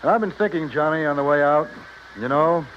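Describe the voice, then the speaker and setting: A man's voice: fairly high pitch, fairly quick, close to an everyday voice. An actor playing a cautious, worried male banker who hedges mildly and reluctantly, in a 1950s radio drama. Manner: hedging, reluctant, mild. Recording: muffled old radio recording, faint noise.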